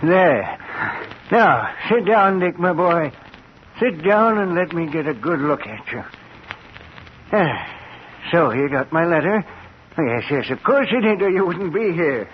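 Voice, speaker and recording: High-voiced, frail old professor. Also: male voice, animated, a little slow, long pauses. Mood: eager, affectionate. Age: older. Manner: chuckling, avuncular, eager. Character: frail, excitable, kindly old professor and proud inventor. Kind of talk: vintage radio drama acting. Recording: muffled, hissy old radio recording.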